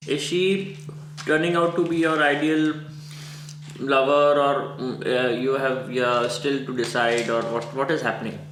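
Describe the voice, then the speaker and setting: A man puts casual questions in a medium-pitched voice. The room around him is noticeably echoey. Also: steady tone, a little slow, fairly bright tone. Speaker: documentary participant.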